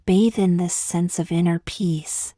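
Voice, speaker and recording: A woman reading a scripted guided meditation in slow, gentle, measured phrases with long pauses. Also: on the low side, fairly even intonation, no echo.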